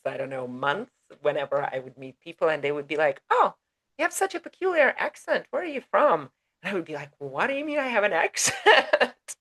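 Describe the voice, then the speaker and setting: A woman from Eastern Europe with an Eastern European accent, co-hosting a casual podcast chat as an ESL teacher and telling stories with lively laughs. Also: deep voice. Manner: animated storyteller, laughs often.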